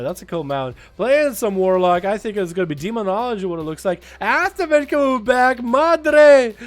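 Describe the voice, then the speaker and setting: A young man from Russia with a slight Russian accent, hosting a live game stream and hollering and swearing as he thanks viewers. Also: high voice.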